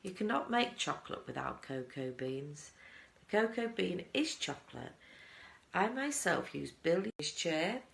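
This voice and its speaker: A woman's voice: sits low, very animated, measured pace, creaky, roomy sound, exaggerated voice. A woman voicing a proud, eccentric male chocolate maker telling his tale with emphatic relish in a storybook. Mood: storytelling.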